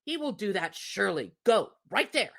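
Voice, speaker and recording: A woman's voice: medium pitch, sing-song, normal speed, creaky, fairly bright tone, pitched above the performer's own voice, full character voice. A woman voicing a nagging, discontented storybook wife with an insistent, wheedling way of talking. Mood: demanding.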